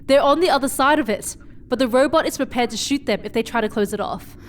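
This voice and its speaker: An earnest young space explorer played by a woman with a fairly high voice. She speaks haltingly and with emotion in a sci-fi drama, over faint background noise. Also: close to an everyday voice. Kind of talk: sci-fi horror audio drama acting.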